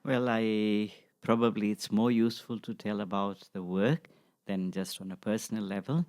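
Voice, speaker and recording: A man in his sixties answering interview questions in a measured, reflective way, as a practitioner and teacher. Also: on the low side, fairly even intonation, darkish tone. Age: 60s. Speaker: guest, acupuncture practitioner and teacher.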